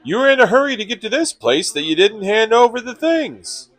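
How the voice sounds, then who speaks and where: A man's voice: high-pitched, sing-song, a little fast, fairly bright tone, no room sound, heavily acted. An actor playing a sensible, exasperated young man who complains at his friend in a comedy radio play. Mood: weary, exasperated. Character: exasperated, sensible young male best friend named Stu.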